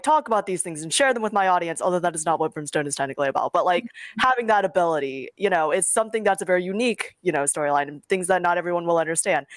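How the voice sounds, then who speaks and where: A woman's voice: on the low side, no room sound. An American woman chatting informally as a guest creator on a panel, hedging often with filler phrases. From United States. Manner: informal, hedging, frequent "like" and "you know". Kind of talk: casual panel discussion.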